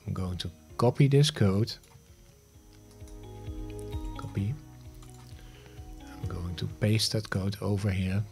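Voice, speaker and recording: A man in his fifties narrating a step-by-step software tutorial in short instructional phrases, recorded on a close studio mic. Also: fairly low pitch, takes it slowly, vocal fry, long pauses, soft background music. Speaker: host of smart home tutorial videos. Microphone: close studio mic on a boom arm.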